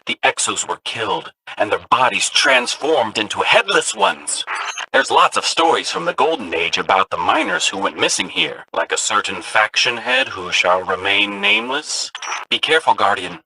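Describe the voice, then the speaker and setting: A man's voice: mid-range voice, huge pitch swings, normal speed, gravelly, background noise, exaggerated voice. An actor voicing an eager, chatty male ghost companion robot in a video game, a curious storyteller of spooky legends. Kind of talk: game voice lines.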